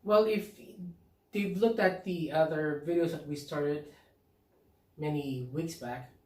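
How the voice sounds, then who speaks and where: A man from the Philippines co-hosting a casual couple's vlog, talking in a halting, easygoing way and laughing often. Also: on the high side. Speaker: vlog co-host. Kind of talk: casual chat. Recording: echoey room, mic at a distance.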